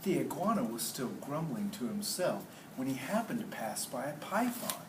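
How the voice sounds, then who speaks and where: A man's voice: medium pitch, sing-song, normal speed. A man teaching a class, reading a story aloud expressively and putting questions to the children. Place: indoor classroom. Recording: roomy, noisy classroom, distant mic.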